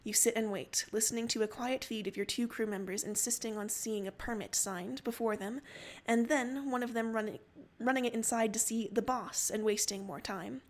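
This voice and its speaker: A woman's voice: on the high side, steady tone, moderate pace. A woman narrating a story dramatically in the second person, as a co-reader on a livestream.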